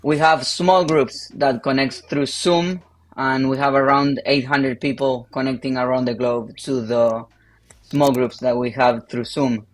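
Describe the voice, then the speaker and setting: A Spanish-speaking pastor from Argentina with an Argentine accent, speaking English in a panel conversation, halting with many fillers. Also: male voice, medium pitch, steady tone. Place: livestream call.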